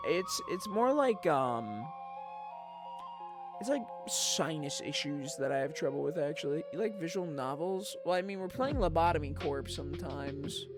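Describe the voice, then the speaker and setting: Sleepy young streamer dude, slightly raspy voice, bounces up and down a lot, music going behind him. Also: male voice, fairly high pitch. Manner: rambling, giggly, reads chat aloud. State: tired, strained throat. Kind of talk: casual chat with stream viewers.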